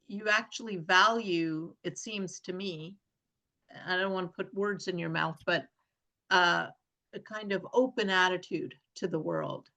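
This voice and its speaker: A woman hosting an interview, asking measured, probing questions. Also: on the low side, vocal fry, bright, forceful tone. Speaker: interview host. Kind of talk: interview conversation.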